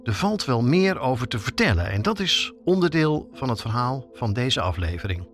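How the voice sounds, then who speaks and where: A Dutch man presents a podcast as its reporter, reading scripted narration at a middling pitch. His intonation is animated and moves freely up and down. Music plays behind his voice. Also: moderate pace. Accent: Netherlands Dutch.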